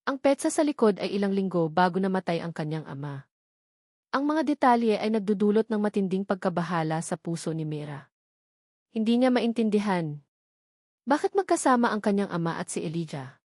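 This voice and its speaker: A woman's voice: medium pitch, lively intonation, fairly quick, a little mellow, dry recording, studio quality. A woman narrating a dramatic love story from a script.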